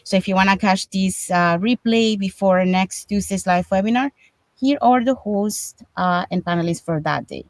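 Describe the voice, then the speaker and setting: A woman from Panama hosts an online panel and explains things step by step in a chatty way. Her voice sits in a middle pitch. The sound is dry and close, with almost no room echo. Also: normal speed. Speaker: host. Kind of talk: online panel show hosting.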